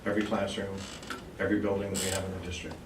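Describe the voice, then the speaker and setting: A man's voice: low voice, level tone, racing. A man formally and deferentially explaining details as a staff member answering questions at a public meeting. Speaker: school district staff member presenting a proposal. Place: indoor meeting room. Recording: roomy, noisy meeting hall from a distance.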